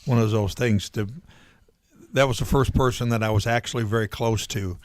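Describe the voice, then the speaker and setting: A male guest on a radio show, speaking in a halting, reflective way. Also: lowish voice, steady tone, no echo, studio quality. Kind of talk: casual radio chat.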